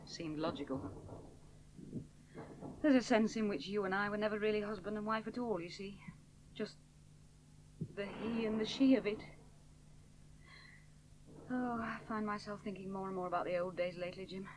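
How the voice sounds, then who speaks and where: A woman's voice: mid-range voice, fairly even intonation, normal speed, long pauses, slight background noise, natural voice, rough recording. An actress playing a wistful, stubborn woman aviator in a radio play, clipped and dry. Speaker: pilot in radio drama. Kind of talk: 1970s radio drama acting.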